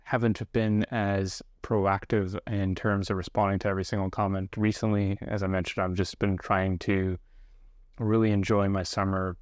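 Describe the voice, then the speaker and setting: Low-pitched, even-toned man, reflective. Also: dry recording, clean studio sound. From grew up partly in South Korea, living in Canada. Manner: unhurried, reflective, pausing mid-sentence. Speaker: stationery video host. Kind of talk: reflective casual monologue to viewers.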